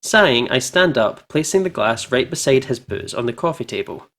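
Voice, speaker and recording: A man's voice: mid-range voice, lively intonation, normal speed, a bit bright. A man hosting a playthrough, switching between dramatic first-person narration of a visual novel and casual, reactive banter with some swearing. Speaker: let's play host reading a visual novel aloud. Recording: game music behind voice.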